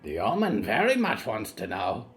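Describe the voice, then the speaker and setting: A male narrator voicing a curious, gossipy raven-demon that croaks and talks about itself in the third person. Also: fairly low pitch, huge pitch swings, normal speed, fairly bright tone, full character voice. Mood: insistent. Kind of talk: audiobook character voice.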